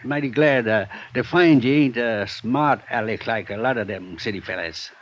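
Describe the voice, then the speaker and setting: An actor playing an old, shrewd prospector who has lived alone in the mountains for decades, talking in a drawling rural dialect, in a 1950s radio drama. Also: male voice, mid-range voice, normal speed, close to an everyday voice. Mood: folksy. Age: older. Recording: dull old radio broadcast with faint hiss.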